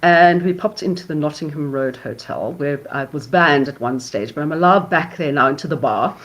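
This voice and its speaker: A woman's voice: sits low, normal speed, fairly bright tone, rough recording. A woman hosting a podcast, telling playful anecdotes and hunting for words. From South Africa. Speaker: podcast host. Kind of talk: casual chat.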